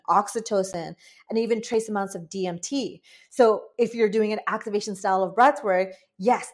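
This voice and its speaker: A woman from Bangladesh in her late twenties or thirties, a breathwork facilitator talking earnestly and chattily as an interview guest. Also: mid-range voice. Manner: earnest, chatty, many "like" fillers, animated quoted asides. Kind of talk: conversational interview.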